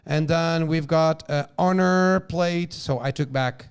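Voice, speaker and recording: A man from Portugal speaking English with a Portuguese accent, a restaurant entrepreneur telling lively stories as a guest, often restarting and repeating phrases. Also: fairly high pitch, slow, clear, resonant tone. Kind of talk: casual storytelling interview.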